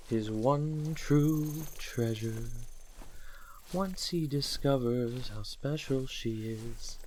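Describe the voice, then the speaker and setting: A man's voice: mid-range voice, warm tone, slight background noise, low-quality recording. A man voicing a possessive, seductive naga serpent hypnotist in a fan audio drama, coaxing his lover with a theatrical villain purr. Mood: crooning, hypnotic. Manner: slow, coaxing, theatrical villain purr, often near whispering.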